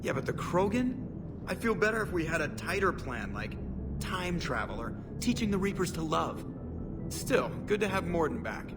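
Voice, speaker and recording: An irreverent pilot from a video game speaks in a fairly high male voice. He wisecracks with flippant sarcasm. Loud music sits behind his words. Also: big pitch swings, normal speed. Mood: sarcastic. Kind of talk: video game character dialogue. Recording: loud music mixed under voice.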